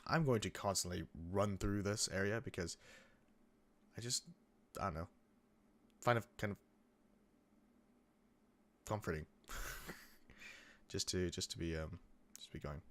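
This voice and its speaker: A young man hosting a gaming channel, chatting casually over gameplay in a rambling way with lots of restarts, his voice a bit croaky from coming down with a cold. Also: sits low, unhurried, long pauses, a bit bright. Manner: rambling, many restarts and fillers. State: getting sick, a bit croaky.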